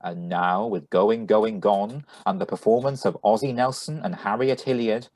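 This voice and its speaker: Low, husky male radio announcer. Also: normal speed, darkish tone, no room sound. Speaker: radio announcer reading sponsor message. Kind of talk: 1940s radio announcer acting.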